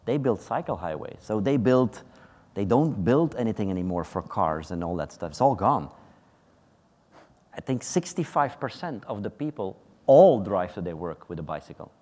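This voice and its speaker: A man on a conference panel with a fairly low voice, talking in a loose, conversational way. His words pause on hesitant fillers. A long reverb from the hall hangs on each phrase. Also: sing-song, dark tone. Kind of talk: panel discussion.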